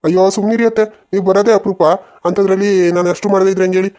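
A woman's voice: mid-range voice, fairly even intonation, fast talker, dark tone, close to an everyday voice. A woman voicing a protective, dutiful young village man in a cartoon family drama, earnest and insistent, with a rural southern Karnataka accent. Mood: dutiful, warm.

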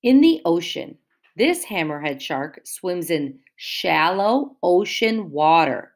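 A woman teacher reads a picture book aloud to young children, pausing to explain new words and ask them questions. She speaks slowly and patiently. Her voice swoops up and down in a lively, sing-song way. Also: fairly low pitch.

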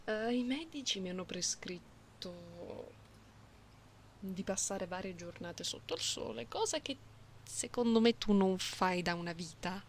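A woman voicing a playful, caring young catgirl in an intimate, soft ASMR roleplay. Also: medium pitch, close to an everyday voice. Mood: gentle concern. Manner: soft, unhurried, intimate, sometimes whispery. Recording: binaural close mic, audible background noise.

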